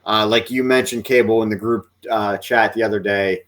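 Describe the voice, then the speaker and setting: A man co-hosting a podcast, talking wrestling in a measured, hesitant way with many fillers. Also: on the low side, steady tone, fairly bright tone. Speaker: podcast co-host. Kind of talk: casual wrestling chat.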